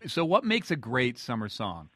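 Bright-voiced American man hosting radio. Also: medium pitch, wildly swooping pitch, moderate pace, no room sound. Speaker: radio interviewer, host. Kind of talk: conversational radio interview with music segments.

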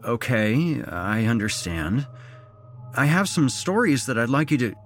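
A man's voice: mid-range voice, expressive, unhurried, soft background music, voice pushed up, lightly acted. A man voicing a frustrated underdog employee in a dramatized story, earnest and aggrieved. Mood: sheepish, earnest. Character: frustrated underdog male employee, pleading then exploding. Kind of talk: dramatized short story reading.

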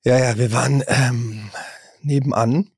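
A man with a husky voice, telling anecdotes in casual chat as a podcast co-host. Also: mid-range voice, steady tone, measured pace, darkish tone, no room sound, clean studio sound.

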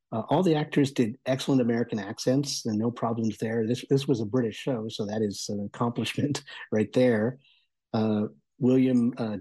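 A man with a mellow, soft-edged voice and a husky grain talks through a review as a guest. His delivery is conversational and thoughtful, often halting as he works toward his point. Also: mid-range voice. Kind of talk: casual spoken review.

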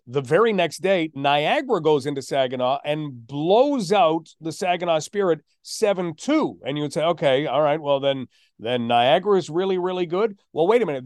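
Man, slightly high voice, sports announcer in casual analysis, emphatic, rhetorical, close dry recording. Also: a little mellow, no room sound. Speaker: sports podcast host and play-by-play announcer.

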